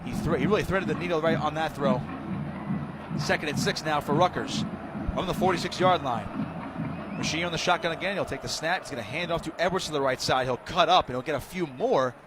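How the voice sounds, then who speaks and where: A high-pitched American man calls football live on the radio. He describes each play in excitable, clipped phrases, with clear stadium noise around him. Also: brisk. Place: press box high above a stadium. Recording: loud stadium noise, outdoor broadcast.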